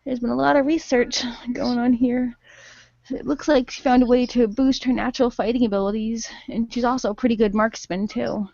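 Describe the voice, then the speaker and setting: A woman narrating an improvised role-play game, haltingly making it up as she goes. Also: fairly high pitch, fairly even intonation, creaky, darkish tone, no echo, lo-fi sound.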